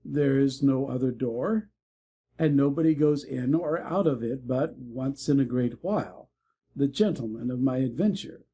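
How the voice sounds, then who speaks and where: A man playing a frank, worldly young Victorian gentleman in a dramatic audiobook, speaking in measured, formal phrases. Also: medium pitch, breathy edge. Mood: dry, conversational.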